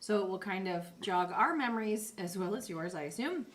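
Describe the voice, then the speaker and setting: Casual woman with mid-pitched voice. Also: expressive, a little fast, a crowd in the background. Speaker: podcast co-host. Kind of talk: casual chat. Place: indoors, in one room with the co-hosts.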